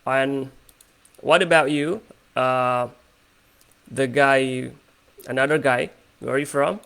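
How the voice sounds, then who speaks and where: A man's voice: medium pitch, steady tone, takes it slowly, creaky, a bit bright, smooth. A man with an Indonesian accent, hosting a casual English conversation practice on a video call, hesitant and full of fillers. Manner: hesitant, many fillers and restarts.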